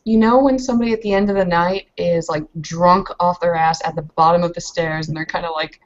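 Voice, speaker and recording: Low-voiced woman narrating a roleplaying game. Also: steady tone, fairly quick, dull audio. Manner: hesitant, filler-heavy, casual slangy phrasing. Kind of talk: casual improvised game narration.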